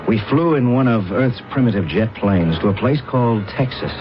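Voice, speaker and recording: An actor playing a proud, scheming alien space pilot in a radio drama, speaking in a guarded, formal, measured way. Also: male voice, mid-range voice, animated, close to an everyday voice. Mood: brooding, proud. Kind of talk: old radio drama acting, narration and dialogue. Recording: muffled radio drama recording with loud music.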